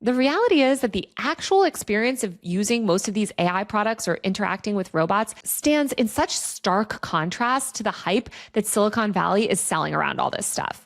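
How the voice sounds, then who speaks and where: Female host, mid-range voice, opinionated and conversational video commentary. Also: mid-range voice. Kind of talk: video essay commentary on online culture.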